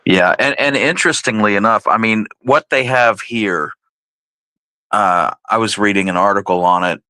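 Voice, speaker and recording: Deep, bright-voiced man explaining things. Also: moderate pace, no echo. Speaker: show co-host. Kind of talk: casual explanatory discussion.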